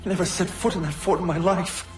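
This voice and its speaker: An actor playing a bewildered, frightened captive officer in a video game, pleading in halting, stammering bursts. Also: male voice, high voice, steady tone, close to an everyday voice. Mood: desperate pleading. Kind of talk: dramatic video game cutscene acting. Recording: roomy echo with music underneath.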